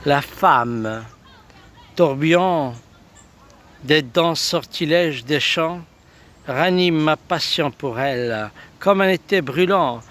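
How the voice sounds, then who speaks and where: A man reciting love poetry in a solemn, lyrical way, in short halting phrases. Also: a little high, a bit bright. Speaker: poet reciting own poems.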